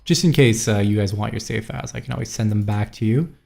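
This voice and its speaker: A man's voice: on the low side, fast talker, vocal fry, a little mellow, soft background music. A man from Montreal chatting loosely with viewers on a live stream, rambling and reading out chat. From Montreal, Canada. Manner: rambling, scattered, reads chat aloud.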